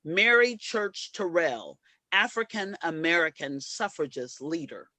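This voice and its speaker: Woman as impassioned old-time suffragist, deliberate slow pace, lively intonation, dry close sound. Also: on the low side, no room sound, heavily acted. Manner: emphatic, deliberate. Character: impassioned, stately suffragist orator of a century ago. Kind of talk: reader's theater, dramatic oratory.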